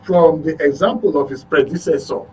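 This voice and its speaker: A Nigerian man hosting a current affairs discussion in a halting, hesitant manner. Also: fairly high pitch, mellow. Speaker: radio and TV show host. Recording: dull, distant, echoey room with hum.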